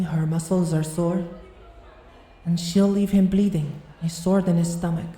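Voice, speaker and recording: A woman's voice: on the low side, warm tone. A woman playing a kind, wry bounty hunter mage in a fantasy ASMR audio roleplay.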